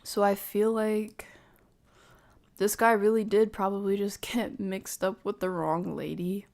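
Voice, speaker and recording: A woman streamer giving casual reaction commentary, measured and hedging as she thinks aloud. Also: medium pitch, level tone, vocal fry, a little mellow.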